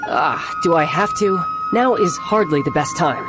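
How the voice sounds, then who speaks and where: A man playing a cocky young warrior commander and doting big brother in a video game, with a theatrical, smooth-talking, authoritative manner. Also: a little high, expressive, normal speed, close to an everyday voice. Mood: casual, placating. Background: background music.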